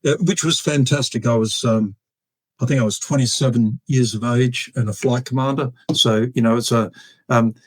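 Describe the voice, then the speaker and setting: Halting Australian man in his sixties. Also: medium pitch, breathy edge, no echo, low-quality recording. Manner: halting, with many fillers and restarts. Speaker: guest, retired air force officer. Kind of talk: casual storytelling interview.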